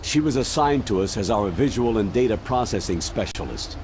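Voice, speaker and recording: An older male colonel gives orders in a low, commanding voice. The delivery is measured and military, as in a video game drama, with clear noise behind it. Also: animated, a little mellow. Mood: matter-of-fact.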